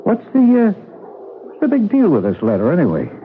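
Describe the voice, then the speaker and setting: A man's voice: mid-range voice, huge pitch swings, a little fast. A man playing an easygoing, practical post office worker, casual and breezy, in a vintage radio mystery. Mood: casual, curious. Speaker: radio drama actor. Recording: tinny, noisy old radio broadcast.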